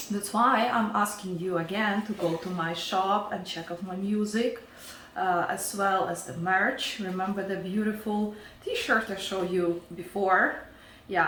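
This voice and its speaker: Unhurried Ukrainian woman demonstrating a recipe. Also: medium pitch, a bit bright, echoey room, distant mic, slight background noise, poor audio. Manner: chatty, keeps checking in with viewers. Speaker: cooking show host. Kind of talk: casual cooking demonstration.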